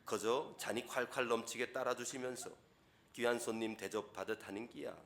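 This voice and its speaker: A pastor putting on a bold North Korean villager. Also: male voice, mid-range voice, fairly even intonation, normal speed, a bit bright, natural voice. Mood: hearty. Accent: Pyongan dialect of North Korea. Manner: folksy, emphatic dialect reading. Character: plain-spoken North Korean man, hearty and bold.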